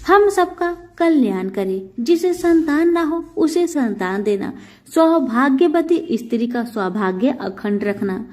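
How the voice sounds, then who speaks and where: A woman's voice: high voice, animated, takes it slowly, darkish tone. A woman voicing a devout, pleading wife praying reverently to a goddess in a devotional storybook reading. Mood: earnest prayer.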